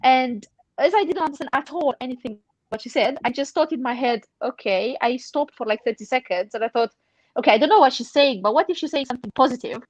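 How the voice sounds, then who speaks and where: Non-native English-speaking woman, higher voice, dry close sound, casual podcast storyteller. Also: quick pace, no room sound, highs cut off. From outside the UK. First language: not English. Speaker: podcast panel member, charity fundraiser. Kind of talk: casual anecdote on a panel podcast.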